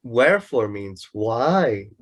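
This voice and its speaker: An actor playing a know-it-all young student in a radio play, lecturing pedantically and with some exasperation in a husky voice. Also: medium pitch, sing-song, slow pace. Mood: exasperated. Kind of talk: amateur radio play acting.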